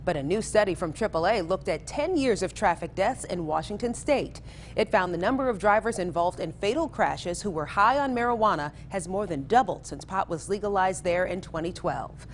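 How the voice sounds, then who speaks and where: A woman's voice: medium pitch, animated, normal speed, a little hiss or hum. An American woman presenting scripted local television news segments as the host.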